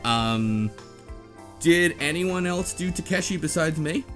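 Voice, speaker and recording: A man's voice: on the high side, lively intonation, slow, a bit bright. A man hosting a casual book club chat, talking in a rambling, blunt and slangy conversational way. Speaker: podcast host. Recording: music behind the voice. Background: background music.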